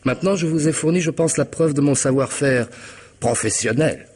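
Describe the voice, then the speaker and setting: A man's voice: a little high, normal speed, a little mellow, husky. An actor playing a cunning, mocking hitman in a radio mystery, with theatrical irony and precise, formal diction. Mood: coldly assured. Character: cunning middle-aged hitman, smug, mocking, methodical blackmailer. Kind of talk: French radio mystery drama acting.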